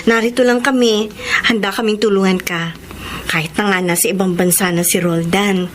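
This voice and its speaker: A woman's voice: mid-range voice, fairly even intonation, normal speed, slight background noise, lightly acted. A woman with a Filipino accent acting a helpful village neighbor in a radio drama. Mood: friendly, caring.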